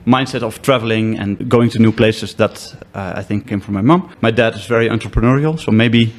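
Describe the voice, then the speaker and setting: A man in his thirties from the Netherlands with a Dutch accent, a company head talking as a podcast guest in a relaxed, self-deprecating way, often restarting his sentences. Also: lowish voice, a little fast. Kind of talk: casual podcast conversation.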